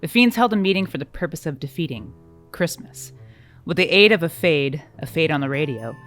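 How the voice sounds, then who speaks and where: A man's voice: a little high, lively intonation, brisk, fairly bright tone, faint music behind. A man narrating a rhymed comic radio play in a mock-grand storytelling manner.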